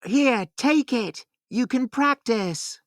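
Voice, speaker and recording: A woman's voice: on the high side, lively intonation, slow pace, no room sound, clean studio sound, pitched above the performer's own voice. A woman voicing a young shepherd boy in a storybook, friendly, earnest and plain-spoken. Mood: kindly.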